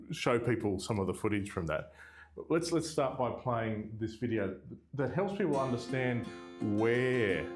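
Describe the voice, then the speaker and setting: A man with a slightly low voice leads a relaxed interview. He asks short, gentle follow-up questions. Faint guitar music plays behind him. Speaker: church interviewer. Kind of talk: casual interview.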